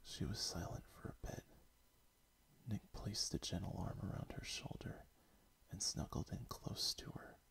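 A man reads a story in a hushed, gravelly whisper. He speaks very slowly and calmly. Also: low voice. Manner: soft whispering. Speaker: story reader.

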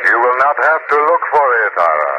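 A male actor plays an ominous, invisible crime fighter. He declaims gravely at a medium pitch with total command, in an old radio thriller with clear background noise. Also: big pitch swings, fast, muffled recording, close to an everyday voice. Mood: solemn. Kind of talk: 1940s radio acting. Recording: noisy old radio recording.

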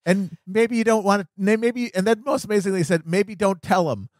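A man's voice: high voice, a little fast, no room sound, clean studio sound. An American man hosting a podcast, talking casually about politics with a satirical bent. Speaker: podcast host.

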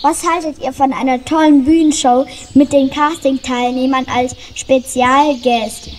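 A woman's voice: sits high, steady tone, measured pace, a little hiss or hum. A young performer voicing a nasty male dwarf in a children's radio play, sulky at first, then eager. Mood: excited.

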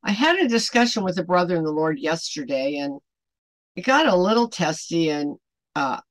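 A woman's voice: lowish voice, sing-song, moderate pace, no echo. An older woman of about seventy giving an unscripted, rambling devotional talk to camera, emphatic and fond of rhetorical questions, as an online ministry host. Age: older, around 70. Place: small furnished home room, indoors.

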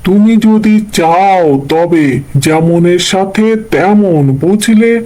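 A man acts a shrewd village uncle in a cartoon, kindly and full of advice. The voice is high and unhurried, knowing and insinuating. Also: darkish tone. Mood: sly, advising. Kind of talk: cartoon dub acting.

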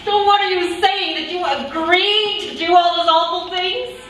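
A middle-aged woman playing the distraught wife of an accused war criminal in a staged reading, agitated, pleading and halting. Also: extremely high pitch, steady tone, a bit bright. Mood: pleading, incredulous. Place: indoor room, table before a plain wall. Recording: echoey room, distant microphone, faint noise.

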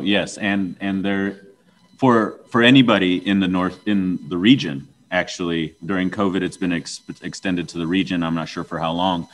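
A man moderating a town hall forum, repeating audience questions with halting restarts. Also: sits low.

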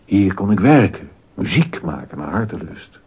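An actor playing a troubled, disappointed court composer and husband in a scripted historical radio drama, with a husky voice. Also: male voice, deep voice, big pitch swings, normal speed, dull audio, full character voice. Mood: disillusioned.

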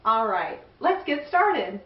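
A woman's voice: on the high side, big pitch swings, slow pace. A woman around her forties presenting playfully to young children in a gushing, exclamatory way. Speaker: children's read-aloud show host. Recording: roomy, distant, slightly dull sound.

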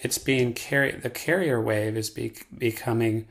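Creaky-voiced man giving a casual tutorial. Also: mid-range voice, steady tone, normal speed, faint music behind. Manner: folksy, playful. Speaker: software trainer.

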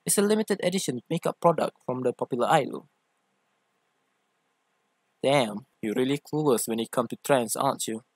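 A man's voice: medium pitch, normal speed, pauses often, husky, dry recording. A man playing an affectionate, theatrical young boyfriend who teases and coaxes in an ASMR roleplay. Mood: playful, teasing. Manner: theatrical, teasing, coaxing. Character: playful, affectionate, teasing young femboy boyfriend.

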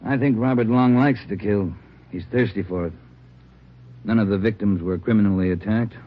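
An actor playing an authoritative American police chief in an old radio drama, talking in clipped phrases on a muffled recording. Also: male voice, on the low side, moderate pace, natural voice. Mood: grim, authoritative. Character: gruff, commanding male police chief. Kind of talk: 1950s radio drama acting.

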